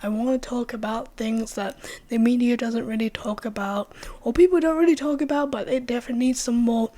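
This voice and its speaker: A young woman vlogs in a loose, rambling way, sprinkling in fillers and the occasional curse. Her voice sits slightly high yet soft and mellow. It is worn and hoarse from a recent cold. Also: brisk. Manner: chatty, many "like" fillers, swears freely. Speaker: true-crime vlogger. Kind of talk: casual opinion vlog.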